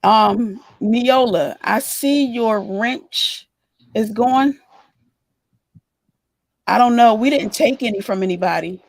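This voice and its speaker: A woman co-hosting a livestream who is hoarse and losing her voice, explaining her views in a measured way during casual gossip chat. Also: a little high, no room sound, low-quality recording. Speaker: livestream co-host.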